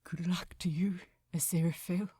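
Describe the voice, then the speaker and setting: A woman performs a doomed gentleman shipbuilder for a story reading. The voice is low, trembling and quiet, formal and courteous, halting with grief. The recording is close and dry. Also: fairly even intonation, husky, dry recording. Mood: tender farewell. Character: Thomas Andrews, grief-broken, courteous gentleman shipbuilder resigned to death.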